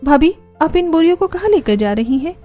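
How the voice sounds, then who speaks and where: A woman acts out a clever young Indian housewife in a cartoon tale, respectful and reassuring. Her voice is fairly high, with light music behind. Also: lively intonation, fairly quick, warm tone. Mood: eager. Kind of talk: Hindi cartoon story dialogue.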